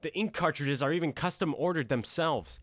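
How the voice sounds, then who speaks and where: A man's voice: a little high, moderate pace, fairly bright tone, no echo, close to an everyday voice. A man playing an earnest defense lawyer in an audio drama, urgent and easily flustered. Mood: insistent.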